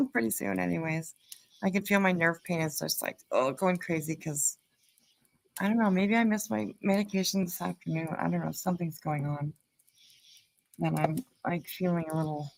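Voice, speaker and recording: A woman's voice: sits low, creaky, poor audio. A woman hosting a casual livestream, chatting in a rambling, affectionate way to her viewers. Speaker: livestream host.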